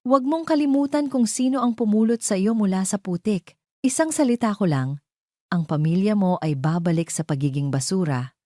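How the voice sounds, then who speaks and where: A woman's voice: mid-range voice, expressive, normal speed, mellow, no echo, clean studio sound, pitched above the performer's own voice, close to an everyday voice. A woman voicing a cold, imperious old wealthy matriarch in an audio drama, threatening and impatient.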